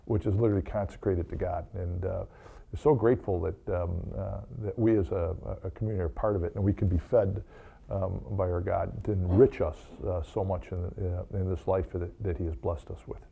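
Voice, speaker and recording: Older American man, low gravelly voice. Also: fairly even intonation, mellow. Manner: halting, with repeated words. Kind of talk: informal remarks and blessing at Mass. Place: small chapel, indoors.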